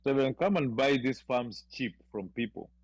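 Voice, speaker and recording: Husky man making his case. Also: medium pitch, normal speed, dry recording. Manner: explains firmly, persuasive. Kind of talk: casual talk radio discussion.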